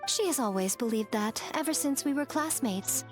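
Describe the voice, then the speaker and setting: A woman's voice: high voice, animated, normal speed, faint music behind. A woman voicing a poised, sly and teasing adult shrine priestess in a video game.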